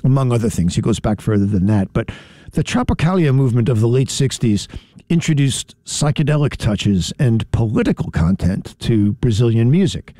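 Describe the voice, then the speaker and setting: A man's voice: mid-range voice, dark tone, no room sound. A middle-aged man hosting a radio show, talking casually in a studio, often restarting and correcting himself. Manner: rambling, self-correcting. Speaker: radio host. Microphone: studio mic.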